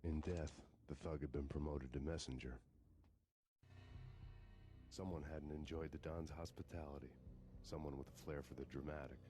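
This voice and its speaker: An actor playing a brooding hard-boiled avenger with an American accent, narrating his noir story in a grim, measured deadpan. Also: very deep voice. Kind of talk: scripted noir game narration. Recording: music behind the voice.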